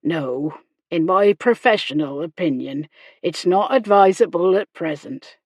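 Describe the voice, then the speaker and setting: A woman voicing a kindly, proper male country doctor, gentle and hesitant, in a period romance audiobook. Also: on the low side, lively intonation, a little slow, dry recording. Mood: measured, professional.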